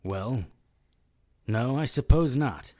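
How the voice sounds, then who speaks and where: An actor playing a sardonic, defiant noir detective in an audio drama, needling others and narrating in the first person. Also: male voice, mid-range voice, animated, slow pace, warm tone. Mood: wary, sardonic. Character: wisecracking, defiant male noir detective hero.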